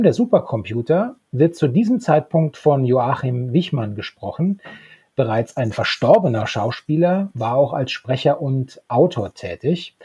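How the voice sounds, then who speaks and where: A man in his thirties co-hosting a podcast, discussing a radio drama in a conversational review style, with a husky voice. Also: mid-range voice, mellow. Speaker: podcast co-host. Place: home room with bookshelves. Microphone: desktop microphone, close.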